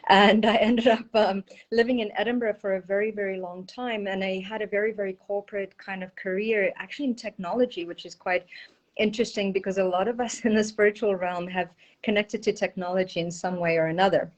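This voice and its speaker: A woman's voice: mid-range voice, steady tone, fairly quick. Chatty South African woman on a video call. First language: Portuguese. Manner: chatty, with frequent ums and light laughs. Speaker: guest. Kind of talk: casual interview chat.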